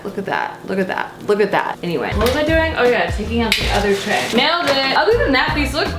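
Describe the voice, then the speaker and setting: A woman's voice: on the high side, reverberant. A woman cooking in her home kitchen, chatting casually and joking at her own expense. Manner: chatty, jokey, self-mocking asides. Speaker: cooking vlogger. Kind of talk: casual cooking vlog chat.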